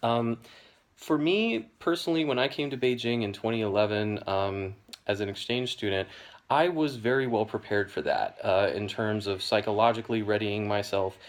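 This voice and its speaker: A young American man explaining a topic to camera in a measured, hedging way, with frequent ums and "you know". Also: lowish voice. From United States. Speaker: educational video host.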